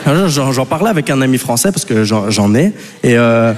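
A man's voice: mid-range voice, lively intonation, a little fast. Belgian guy doing standup, chatty and rambly, keeps talking to the crowd, voice rings out in a big hall. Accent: Belgian French. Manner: rambling and chatty, full of "tu vois" and "les gars" asides to the audience. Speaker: stand-up comedian. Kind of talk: live stand-up routine. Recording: long hall reverb from a live stage.

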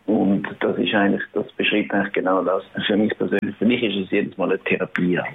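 A low-pitched man with a Swiss German accent from Schaffhausen talks through a tinny, phone-like line. His voice is gravelly, and he answers casually, halting over fillers and restarting his sentences. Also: steady tone. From Switzerland (Schaffhausen). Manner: hesitant, many fillers and restarts, laughs often. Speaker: band musician.

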